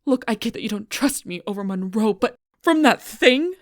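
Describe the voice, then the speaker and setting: A woman playing a gruff, stubborn, protective female alpha werewolf in an intense audio roleplay, blunt and often hushed, with a husky voice. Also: lowish voice, normal speed, no room sound, studio quality. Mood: angry, desperate. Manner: intense, blunt, swearing, often dropping to whispers.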